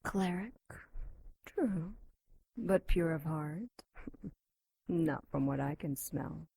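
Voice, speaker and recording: Casting: sultry female demon captive, audio drama, unhurried and dark-toned, mocking and whispery. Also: slight background noise, heavily acted. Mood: taunting, seductive. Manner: taunting, breathy whispers, moans and low laughs.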